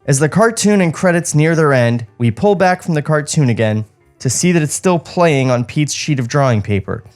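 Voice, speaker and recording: A man's voice: a little high, lively intonation, normal speed, a little mellow, faint music behind. A man co-hosting a podcast, reading stage directions aloud in a table read with joking asides.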